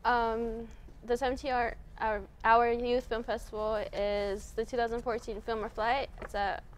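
Young woman, casual and higher-voiced. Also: little pitch movement, normal speed. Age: young adult. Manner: casual, fillers like "like" and "um". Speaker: youth film festival coordinator. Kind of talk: studio TV interview. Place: TV studio set, indoors.

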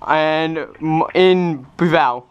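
A man's voice: on the high side, muffled recording. Hesitant male caller with faint noise. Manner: hesitant, halting. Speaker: prank caller. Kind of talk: phone conversation. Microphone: recorded beside a phone on speaker.

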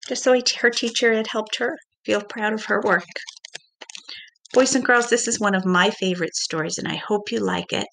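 A middle-aged woman reading a picture book aloud to young children in a deliberate, expressive way, like a teacher. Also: medium pitch, gravelly, noisy background. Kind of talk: children's storybook read-aloud.

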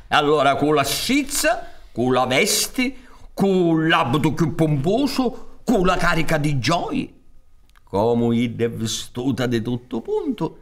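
A man in his sixties with a Sicilian accent narrating a fairy tale theatrically, in an expressive, conspiratorial way. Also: fairly high pitch. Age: 60s. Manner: expressive, chuckling, conspiratorial asides. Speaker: storyteller. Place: theatre stage. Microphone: studio microphone on stand.

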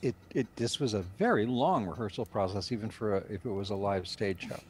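A man's voice: on the low side, brisk, a little hiss or hum. An older man, a director, giving rambling conversational answers as a guest in a video-call interview. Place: indoor room at home.

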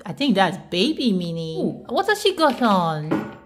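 A woman's voice: medium pitch, very animated, a little slow, music in the background. A woman reading a picture book aloud with a young child, encouraging, asking simple questions and echoing the answers.

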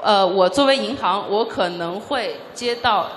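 A woman doing consecutive interpreting at a conference, measured and pausing with frequent fillers. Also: mid-range voice, slight background noise. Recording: echoey hall with faint ambience.

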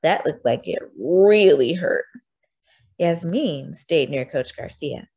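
A woman reading a children's storybook aloud in an expressive, acted-out way. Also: deep voice, slow pace, breathy edge. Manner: expressive, acts out dialogue. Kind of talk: animated scripted storybook narration.

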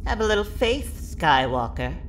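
A woman's voice: mid-range voice, sing-song, moderate pace, slight background noise. A woman playing a wary, sardonic former villain turned ally, dry and guarded, in a sci-fi audio drama. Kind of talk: fan audio drama acting.

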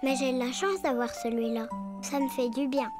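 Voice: sits high, normal speed, over music, lightly acted. A performer voicing a sweet, earnest little brown bear cub boy in a preschool cartoon, with childlike speech. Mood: contented.

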